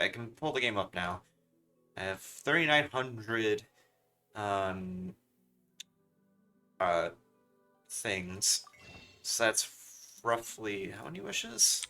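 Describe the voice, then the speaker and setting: A young man streaming a game in a casual, chatty style. His voice is on the low side with plenty of fry and a bright, forceful edge, over faint music. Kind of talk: casual livestream commentary.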